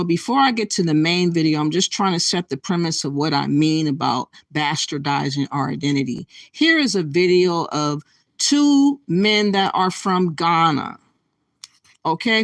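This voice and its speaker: A woman's voice: mid-range voice, a bit bright, dry recording. A woman hosting a reaction commentary, ranting indignantly and calling her viewers "y'all.". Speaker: commentary channel host.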